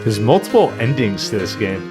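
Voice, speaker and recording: A man's voice: on the low side, sing-song, a little fast. A man co-hosting a livestream, explaining things casually in a matter-of-fact way. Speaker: livestream co-host. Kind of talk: casual chat. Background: faint game music.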